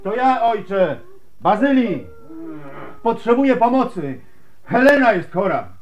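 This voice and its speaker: A man's voice: sits high, very animated, slow pace, highs cut off, full character voice. An actor playing a devoted, anxious husband and father in a radio drama, earnest and emotional. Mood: desperate, angry. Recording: noisy radio play recording.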